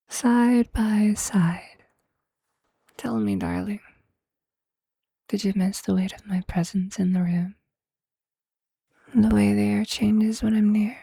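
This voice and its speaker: A performer voicing a possessive, tender vampire lover in an intimate, breathy ASMR roleplay whisper, with a hushed, seductive and affectionate manner. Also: mid-range voice, dry recording, heavily acted. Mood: possessive, adoring. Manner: slow, hushed, seductive, affectionate, formal wording.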